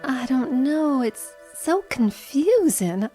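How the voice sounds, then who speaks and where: A woman's voice: sits high, very animated, takes it slowly, music in the background, heavily acted, low-quality recording. An actress playing a troubled, fretful mother in an audio drama, hesitant and dramatic. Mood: haunted, dread. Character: troubled, fretful mother haunted by vivid nightmares. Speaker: drama actor.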